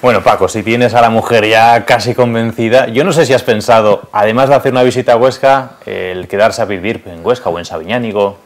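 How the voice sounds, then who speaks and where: A man's voice: fairly low pitch, steady tone, a little fast, hardly any pauses. A man with a Spanish accent from Spain, working as a travel show reporter and asking questions in a casual way. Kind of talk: casual on-location interviewing. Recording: on-location, faint urban traffic noise.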